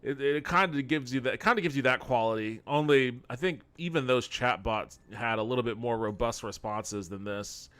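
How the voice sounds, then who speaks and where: A man's voice: mid-range voice, normal speed, few pauses, fairly bright tone, clear, resonant tone. A man streaming a game with casual commentary, rambling and bluntly critical as he goes. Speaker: game streamer and podcast host.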